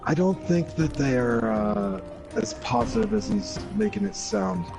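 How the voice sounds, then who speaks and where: A man's voice: high voice, very animated, unhurried, gravelly. A man giving opinions in a casual, argumentative gaming chat, mimicking the people he disagrees with. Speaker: gaming show co-host. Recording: loud music behind the voice. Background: music.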